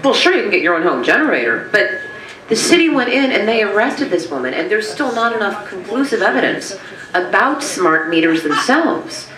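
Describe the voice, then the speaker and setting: A woman's voice: medium pitch, very animated, moderate pace, crowd noise. A woman making an insistent comment at a community meeting, throwing out rhetorical questions. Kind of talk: impassioned public comment. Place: meeting room. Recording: echoey room, distant mic.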